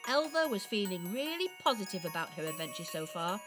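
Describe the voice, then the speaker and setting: A woman's voice: medium pitch, very animated, brisk, music in the background, natural voice. A woman narrator reading a scripted children's story for a radio play.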